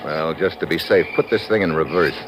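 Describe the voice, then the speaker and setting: An actor playing a tough, wry secret agent with an American accent in a 1950s radio drama, blunt and clipped. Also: male voice, deep voice, brisk, lightly acted. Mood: urgent, defiant. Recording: old radio recording, faint noise.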